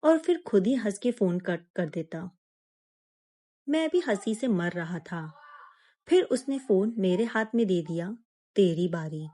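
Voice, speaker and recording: A woman narrating a scripted first-person suspense story in a measured, dramatic manner with pauses. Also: medium pitch, expressive, dark tone.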